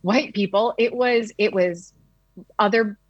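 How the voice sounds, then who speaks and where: A woman's voice: medium pitch, slow pace, slightly rough, dry recording. A woman news reporter in her thirties from the United States speaks candidly and conversationally. The audio is slightly dull and dry. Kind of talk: interview recollection.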